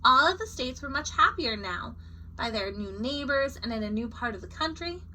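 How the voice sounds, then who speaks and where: Bright, animated woman reading a picture book. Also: high voice, normal speed, a little hiss or hum, poor audio. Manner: expressive, acting out short character quotes. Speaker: read-aloud storyteller. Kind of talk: animated picture book read-aloud with informal asides.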